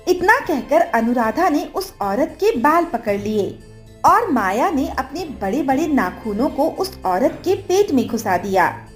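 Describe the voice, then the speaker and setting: A woman storyteller reads a dramatic scripted tale. Her voice is high and very animated, at a slow pace. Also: soft background music.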